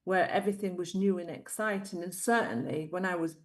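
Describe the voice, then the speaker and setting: A woman's voice: on the low side, brisk. A middle-aged woman with a Yorkshire accent, a guest telling her life story thoughtfully and laughing often. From Yorkshire, England. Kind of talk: interview storytelling.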